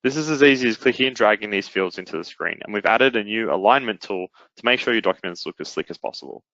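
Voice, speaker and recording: A man presenting a software product in a webinar, explaining it step by step in an instructional way. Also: on the low side, lively intonation, fast, creaky, dry recording, lo-fi sound. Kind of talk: explanatory webinar demo narration.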